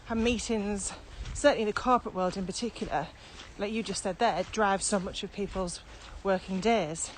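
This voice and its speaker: A woman's voice: medium pitch, moderate pace, hardly any pauses. A woman with a British accent co-hosting a podcast in casual, questioning conversation while out walking. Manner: questioning, acts out quotes. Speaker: podcast co-host and trainer. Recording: noisy walking recording with ambient sound.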